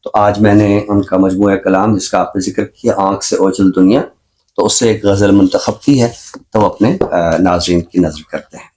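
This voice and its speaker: A middle-aged Pakistani man with a fairly low voice speaks as a professor on a literary program. His delivery is measured and scholarly, and he repeats phrases for emphasis. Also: steady tone, a little mellow. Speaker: professor and poet, literary show presenter. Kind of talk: reflective literary talk and poetry recitation.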